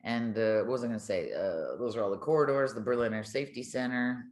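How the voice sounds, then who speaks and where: Older woman, deep-pitched voice, chatty interview storytelling, colloquial, echoing room. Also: fast talker, a little mellow. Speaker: retired air traffic controller, podcast guest.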